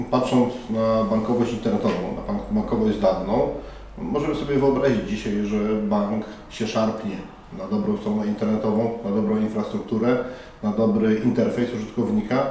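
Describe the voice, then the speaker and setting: Mellow-voiced middle-aged man, echoey hall. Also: on the low side, a little hiss or hum. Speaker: conference panel moderator. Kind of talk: formal interview answers. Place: conference hall by a banner. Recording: echoey room from far off.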